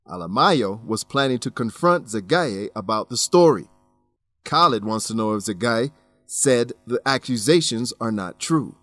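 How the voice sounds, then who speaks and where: A man's voice: lowish voice, fairly bright tone, no room sound. A man narrating a radio drama and English lesson as host, explaining expressively in scripted, learner-friendly sentences.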